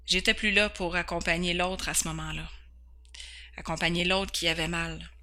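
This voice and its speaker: Bright-voiced Québécois woman host. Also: on the low side, level tone, normal speed. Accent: Québécois French. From Quebec, Canada. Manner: earnest, candid, occasionally stumbling over words. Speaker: podcast host. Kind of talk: personal introduction.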